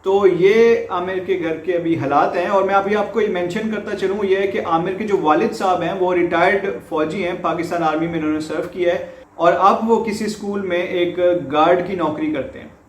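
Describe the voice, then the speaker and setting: Male news presenter, Pakistani accent, high register, explanatory and emphatic through repetition, roomy echoing sound. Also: steady tone, brisk, a little hiss or hum. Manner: explanatory, repeats points for emphasis. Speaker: host presenting a news report. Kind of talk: explanatory news commentary to viewers. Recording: echoey room.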